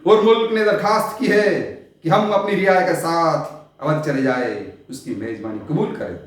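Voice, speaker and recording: A man's voice: a little high, fairly bright tone. Brooding, declamatory sultan played by a man, echoey. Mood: suspicious, questioning. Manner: theatrical, declamatory, with long dramatic pauses and swells. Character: brooding, eccentric, world-weary male sultan, grandiose and solitary. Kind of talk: Hindi stage play monologue, poetic Urdu diction. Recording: very echoey, reverberant room.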